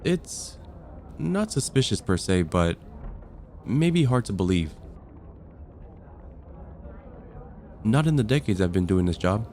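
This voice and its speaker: A composed vampire counselor acted by a man speaks in a lowish voice, measured and clinical, like an official long in the job. It is an ASMR roleplay with matter-of-fact delivery, over noticeable background noise. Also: big pitch swings, darkish tone. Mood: puzzled, skeptical.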